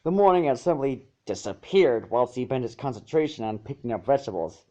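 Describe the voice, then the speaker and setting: A man's voice: mid-range voice, very animated, a little fast, mellow, lo-fi sound. A man reading a story aloud as narrator, sometimes stumbling over and repeating phrases. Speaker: narrator of fan fiction.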